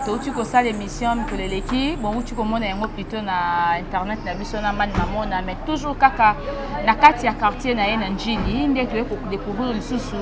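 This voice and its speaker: A woman interviewing a guest outdoors, leading with questions and switching between Lingala and French. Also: mid-range voice. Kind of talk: street interview. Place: outdoors in a city neighborhood. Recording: crowd noise outdoors.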